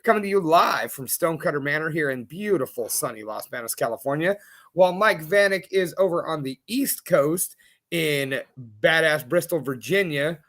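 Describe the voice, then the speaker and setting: A man with a fairly high voice co-hosts a laid-back podcast. He banters in a playful, wordy way and puffs himself up for laughs. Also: a bit bright. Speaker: podcast co-host. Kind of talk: casual podcast chat.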